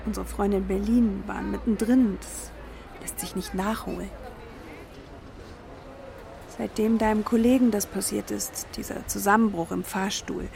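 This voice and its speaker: An actress playing a sober, pragmatic middle-aged wife in a naturalistic radio drama, giving terse, matter-of-fact replies. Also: female voice, mid-range voice, gravelly, darkish tone. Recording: urban traffic ambience.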